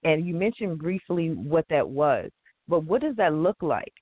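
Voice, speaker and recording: A woman's voice: deep voice, sing-song, measured pace, breathy edge. A woman in her forties hosting an interview, chatting in a long-winded, storytelling way. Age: 40s. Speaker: host. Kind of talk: casual interview chat. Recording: tinny phone-like line, dry.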